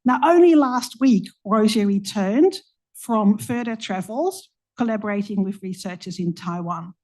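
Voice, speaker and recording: A woman's voice: medium pitch, a little slow, warm tone, low-quality recording. A woman with a Dutch accent, hosting an evening talk and introducing the guest speaker with light asides. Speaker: event host introducing the speaker.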